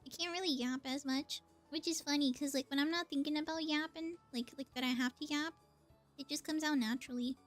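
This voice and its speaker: A woman's voice: high voice, fairly even intonation, fairly quick, faint music behind. A woman live-streaming a video game, chatting casually and thinking aloud as she plays.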